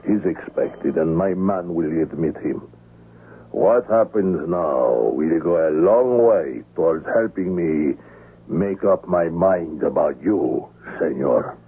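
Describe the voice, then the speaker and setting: Husky, courteous underworld host. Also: male voice, deep voice, lively intonation, heavily acted. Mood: suave, probing. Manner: formal, courteous, measured speech. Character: suave, wary, courteous older male underworld host named Messina. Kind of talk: 1970s radio drama acting. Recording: muffled, tinny vintage radio recording.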